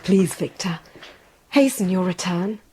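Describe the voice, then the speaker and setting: A woman's voice: on the low side, a little slow, close to an everyday voice. A woman playing a tender, anxious young bride-to-be in a radio drama. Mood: tender, pleading. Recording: loud background noise.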